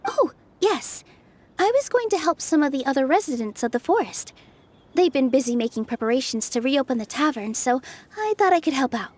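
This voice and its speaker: A woman playing a gentle, earnest young dancer turned forest fairy in a video game, speaking politely. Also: sits high, animated, a little fast. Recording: music playing behind the voice.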